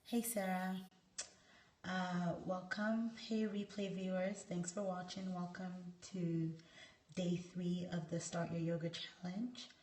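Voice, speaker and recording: A hoarse woman with a cold, teaching a live yoga lesson and talking viewers through a pose as she demonstrates it. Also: fairly low pitch, flat delivery, takes it slowly, darkish tone. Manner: talks through poses while demonstrating, stops to greet viewers. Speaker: yoga instructor. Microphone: camera set back across the room. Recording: echoey room, voice far from the mic.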